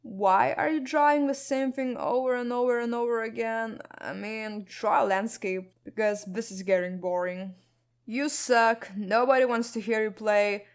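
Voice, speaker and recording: A woman voicing a scornful teenage boy bully in an animated storytime, with a sneering, drawn-out delivery. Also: on the high side, smooth, lightly acted.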